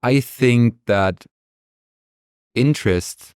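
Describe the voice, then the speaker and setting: A man's voice: medium pitch, drawn-out words, darkish tone, clear, resonant tone, no echo, clean studio sound. A man from Germany, a native German speaker, talking casually and earnestly as a podcast guest.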